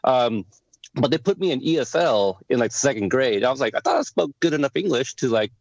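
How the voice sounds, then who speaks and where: A man hosting a podcast interview, whose first language was Lao, chatting in a friendly, animated way. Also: medium pitch, a little fast, gravelly, no room sound. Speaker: podcast host. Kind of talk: casual interview chat. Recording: dry, dull lo-fi sound.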